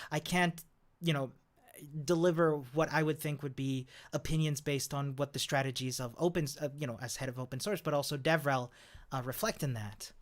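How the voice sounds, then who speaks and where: Upbeat Chilean-born man chatting with laughs. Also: fairly high pitch. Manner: upbeat, chatty, laughs often, many fillers. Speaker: developer advocate. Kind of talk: casual talk to camera.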